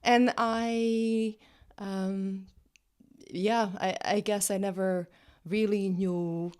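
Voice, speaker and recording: A woman in her late thirties from Serbia with a Serbian accent, a guest chatting in an interview, cheerful and quick to laugh. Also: medium pitch, little pitch movement, darkish tone, smooth. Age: 30s. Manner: cheerful, laughs often, restarts words. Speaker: guest, social psychology professor. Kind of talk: casual interview chat.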